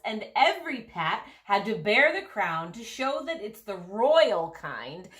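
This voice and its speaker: A woman reads a children's storybook aloud with a very lively, swooping pitch. Her pace is slow, and she leans on key words and stretches out phrases expressively. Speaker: storybook reader. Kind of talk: animated picture-book read-aloud.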